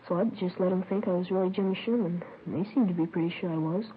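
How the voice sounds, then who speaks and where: A woman's voice: lowish voice, fast talker, close to an everyday voice. An apologetic, pleading young boy, played by a woman in an old radio crime drama. The voice is earnest and meek, heard through a narrow, phone-like band with faint noise. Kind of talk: 1950s radio drama acting. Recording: tinny, noisy old radio recording.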